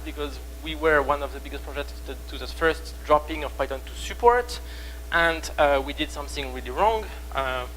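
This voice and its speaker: A young man with a heavy French accent speaks into a stage microphone in a conference room, his voice sitting a little high. He talks in halting stops and starts, full of fillers and repeated words, with a faint hum underneath. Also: sharp tone, low-quality recording. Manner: halting, many fillers and restarts. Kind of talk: technical conference talk.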